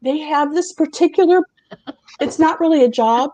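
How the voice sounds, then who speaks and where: A chatty woman host with a fairly high voice, recorded dry and close. She talks casually, laughs easily and reads aloud from her notes. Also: normal speed, mellow, no echo, poor audio. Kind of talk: casual chat.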